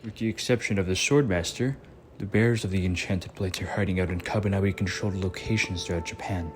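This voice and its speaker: A man voicing a laid-back, cautious adult ally in an anime fan dub, talking in a measured, reasoning way with a slightly wry edge. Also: sits low, slight background noise, natural voice. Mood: explaining.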